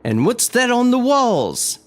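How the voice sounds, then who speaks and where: A man's voice: very high voice, wildly swooping pitch, slow, exaggerated voice. A man playing an older small-town family doctor in a radio horror drama, speaking in an earnest, dramatic way. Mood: grave, aghast. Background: faint music.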